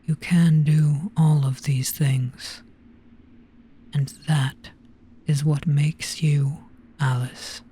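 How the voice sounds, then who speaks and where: A performer voicing a gentle Jabberwock monster, resigned and soothing, in a soft breathy half-whisper for a hypnotic trance roleplay. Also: mid-range voice, fairly even intonation, full character voice. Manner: slow, hushed, soothing, deliberate.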